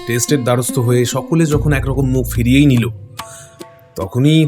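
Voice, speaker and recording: A man's voice: medium pitch, fairly even intonation, moderate pace, a little mellow, soft background music, natural voice. A man playing an earnest, deferential junior investigator reporting to his superior in a horror audio drama. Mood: earnest, sombre.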